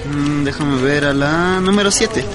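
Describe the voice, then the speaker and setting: Casting: man playing easygoing young student, slangy Ecuadorian accent, lightly raised pitch, natural radio-serial dialogue, clear music bed. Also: a little slow, close to an everyday voice. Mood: easygoing, grateful. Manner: slangy, laid-back. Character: easygoing, grateful young male night student.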